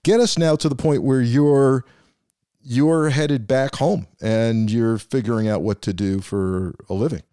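A man's voice: mid-range voice, a little slow, dark tone, clear, resonant tone, no echo, clean studio sound. A man hosting a podcast, asking conversational questions and offering short affirmations. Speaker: podcast host. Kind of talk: interview questions.

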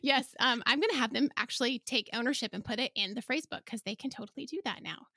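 Bright, chatty woman podcast host. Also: fairly high pitch, fast talker, no echo, studio quality. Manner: chatty, enthusiastic, laughs often. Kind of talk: casual conversational interview.

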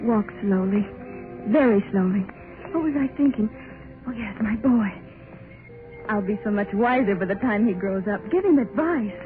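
A woman's voice: medium pitch, lively intonation, moderate pace, long pauses, lightly acted. An actress playing a fretful young expectant mother, speaking her inner thoughts in old radio-drama style. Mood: anxious. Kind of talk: 1940s radio acting. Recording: tinny old radio recording with music.

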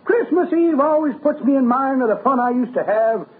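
A man's voice: very high-pitched, lively intonation, moderate pace. A man playing a cheerful, cheeky, folksy old-timer in a vintage radio comedy. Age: older. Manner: folksy, teasing, plain-spoken. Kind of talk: 1950s radio comedy acting. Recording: tinny, noisy old radio recording.

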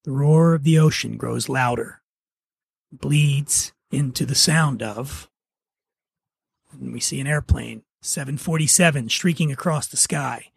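A man's voice: medium pitch, a little slow, vocal fry, breathy edge, no echo. A man hosting a podcast, talking casually and narrating a script. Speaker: podcast host and writer.

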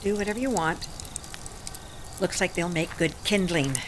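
A woman's voice: fairly low pitch, normal speed, noisy background, natural voice. An actress playing a proud, weary older American working woman in an audio drama, defensive and sardonic, with blunt folksy talk. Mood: wry, guarded.

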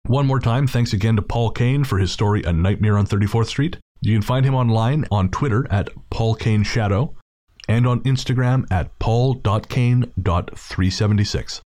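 A man's voice: lowish voice, normal speed, a little mellow. A man hosting a podcast, chatting casually and informally through thanks and plugs. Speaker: podcast host.

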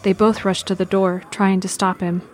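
A woman's voice: mid-range voice, little pitch movement, normal speed, slight background noise. A woman reading scripted present-tense narration for an audio drama.

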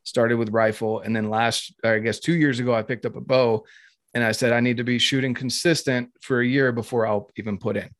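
A man's voice: mid-range voice, steady tone, brisk, fairly bright tone, no echo. A man from North Carolina in casual podcast chat, talking at length with frequent laughs and fillers. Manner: chatty, laughs often, lots of fillers.

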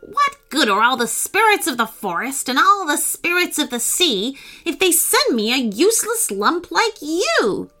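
A woman's voice: high-pitched, very animated, a little slow, few pauses, sharp tone, voice pushed up, full character voice. A woman voicing a spiteful little monkey villain who screeches, groans and rants in a children's story. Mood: furious wailing.

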